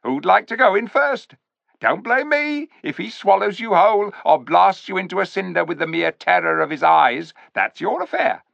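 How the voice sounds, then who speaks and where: A man's voice: high voice, lively intonation, moderate pace, gravelly, a bit bright, no echo, pitched above the performer's own voice, exaggerated voice. A man voicing a sly, bossy male ape who mocks and jeers at the crowd in a storybook reading. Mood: jeering. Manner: mocking, jeering, cackling. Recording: dull lo-fi recording.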